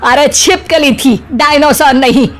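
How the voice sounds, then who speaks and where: A woman's voice: high voice, measured pace, slight background noise. A woman playing a bossy, easily frightened middle-aged mother-in-law in a cartoon comedy, scolding with sarcasm. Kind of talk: Hindi cartoon comedy story acting.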